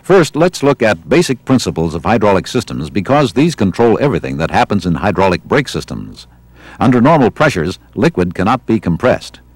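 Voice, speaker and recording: Male American training film narrator, low and slightly husky voice, measured teaching delivery, dry studio sound. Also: sing-song, dry recording. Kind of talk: scripted instructional narration. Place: studio voice-over.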